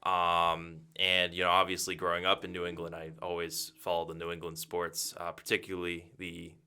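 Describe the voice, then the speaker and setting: Deep-voiced young man with a crisp, bright tone. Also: monotone. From Massachusetts. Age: 20s. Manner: measured and thoughtful, frequent "um" and "you know". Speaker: radio play-by-play broadcaster. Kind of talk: interview answers.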